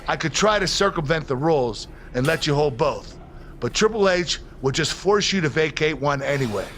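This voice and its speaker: A man playing a polite, businesslike wrestling official in a video game cutscene, measured and matter-of-fact. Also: fairly high pitch, lively intonation, fairly bright tone, slight background noise. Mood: polite, apologetic. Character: businesslike male wrestling official, apologetic rule-follower.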